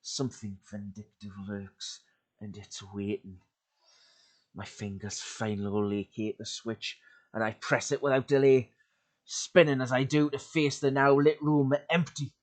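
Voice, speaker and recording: Man reading horror aloud, hushed then urgent. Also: level tone, measured pace. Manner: swings from loud urgency to hushed, breathy lows. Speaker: YouTube creepypasta reader. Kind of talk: dramatic horror story reading. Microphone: home desk mic.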